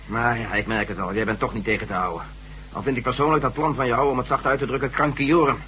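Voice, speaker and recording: A man's voice: mid-range voice, steady tone, quick pace, sharp tone, close to an everyday voice. A man acting a sceptical, outspoken companion to an adventurer in a Dutch radio drama. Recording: noisy old radio play with hum.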